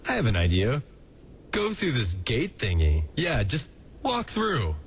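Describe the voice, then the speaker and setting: A man's voice: mid-range voice, huge pitch swings, unhurried, a little hiss or hum. A man voicing a laid-back, joking skeleton big brother with a menacing edge and a lazy drawl, in a comic dub. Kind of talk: comic dub character acting. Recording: music behind the voice.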